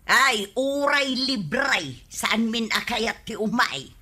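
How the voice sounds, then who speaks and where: A woman's voice: fairly high pitch, sing-song, slow pace, sharp tone, breathy edge, heavily acted. An actress playing a friendly, agreeable village woman with an Ilocano accent in a radio comedy drama.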